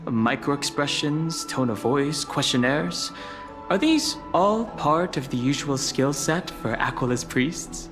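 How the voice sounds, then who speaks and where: A man playing a calm, guarded and courteous young traveler in a video game, speaking politely and with reserve. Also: fairly high pitch, takes it slowly, music in the background. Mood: reserved, curious.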